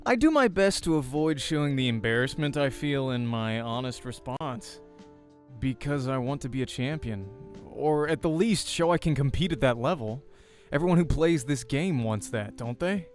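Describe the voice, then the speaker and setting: Casting: male game narrator, mid-pitched, first-person storytelling with informal asides, faint music bed. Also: animated, moderate pace. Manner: informal, breaks off to comment on slips. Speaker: voice actor reading a game.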